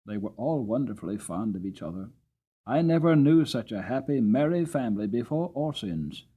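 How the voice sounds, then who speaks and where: A man reading a story aloud as a dramatic, expressive audiobook narrator. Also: mid-range voice, normal speed, husky. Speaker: audiobook reader.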